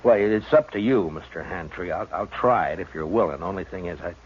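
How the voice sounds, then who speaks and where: An actor playing a terse, world-weary frontier marshal in a 1950s radio western. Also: male voice, sits low, a little fast, slight background noise, close to an everyday voice. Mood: hesitant, grave. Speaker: lead actor and first-person narrator. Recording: dull vintage 1950s radio recording.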